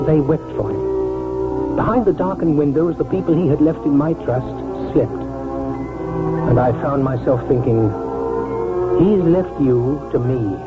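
Thin vintage radio sound with loud music under it carries an elderly village doctor, played by a male actor. He is weary and reflective, musing to himself as he narrates in a radio drama. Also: mid-range voice, narrow, tinny audio, close to an everyday voice. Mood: sombre, reflective. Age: older. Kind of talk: 1940s radio drama acting, first-person narration.

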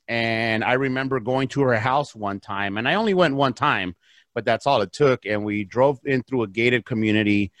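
Low-voiced American man telling his story. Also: fairly bright tone, no echo. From south Los Angeles, United States. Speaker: interview guest, real estate investor. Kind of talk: casual personal storytelling in an interview.

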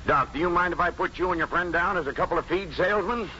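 A man's voice: high-pitched, fast talker, lightly acted. An actor playing a pious, set-in-his-ways farmer uncle in a 1950s radio drama, with blunt, folksy rural speech. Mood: businesslike. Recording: dull, noisy 1950s radio recording.

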